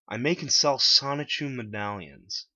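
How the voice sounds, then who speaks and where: A man's voice: mid-range voice, normal speed, bright, forceful tone, no echo, lo-fi sound, voice pushed up. A man voicing a cocky, blunt internet troll who talks in a casual, challenging way in a dramatic story reading.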